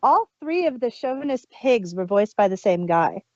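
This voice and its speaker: A woman's voice: mid-range voice, big pitch swings, normal speed, darkish tone, dry recording. A woman casually chatting as a podcast co-host, laughing often and trailing off mid-sentence, on a dull, muffled recording. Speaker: podcast co-host reviewing an audio drama. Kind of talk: casual chat.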